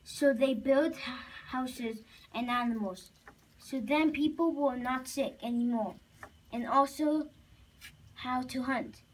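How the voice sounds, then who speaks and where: A child narrating a homemade puppet show haltingly, with restarts. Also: sits high. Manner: halting, repeats and restarts phrases. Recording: distant, faintly noisy home recording.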